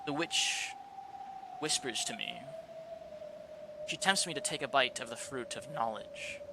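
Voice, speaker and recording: A man reading aloud the quiet, solemn inner monologue of a brooding young male servant, with a steady hiss behind. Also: mid-range voice, a little slow, gravelly. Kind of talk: reading a visual novel aloud.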